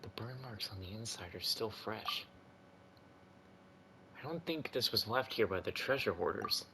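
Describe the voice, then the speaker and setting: Low-pitched man commenting on a game. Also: animated, unhurried, creaky, a little hiss or hum. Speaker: gaming video host. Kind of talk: casual playthrough commentary. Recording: loud game music behind.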